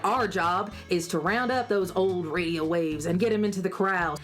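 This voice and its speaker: A woman's voice: fairly low pitch, normal speed, faint music behind, close to an everyday voice. A woman playing a kindly, teasing cowgirl ranch boss in a children's radio drama, talking in folksy cowboy slang. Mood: folksy, instructive.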